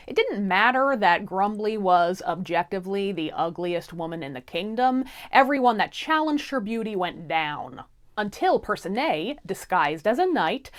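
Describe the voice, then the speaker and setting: A woman storyteller narrating a fairy tale in a casual, irreverent, theatrical comic style. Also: mid-range voice.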